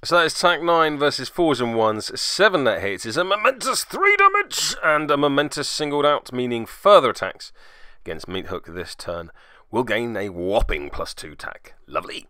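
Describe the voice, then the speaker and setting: A man's voice: medium pitch, big pitch swings, normal speed, sharp tone, no echo, clean studio sound. A man hosting a tabletop miniatures game report, giving semi-scripted play-by-play with wry, playfully mock-dramatic asides.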